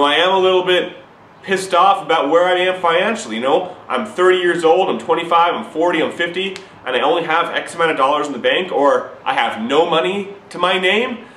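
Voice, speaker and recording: Fervent man pitching to camera. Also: fairly quick, fairly bright tone, echoey room, rough recording. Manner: urgent, repetitive, preachy. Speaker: recruiting pitch to viewers. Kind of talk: impassioned direct-to-camera sales pitch.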